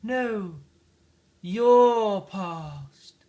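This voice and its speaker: High, drawn-out, unearthly spirit. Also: male voice, lively intonation, warm tone, muffled recording, exaggerated voice. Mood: solemn. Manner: slow, drawn-out, solemn. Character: unearthly, gentle, solemn spirit of bygone Christmases. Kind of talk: dramatic storybook read-aloud.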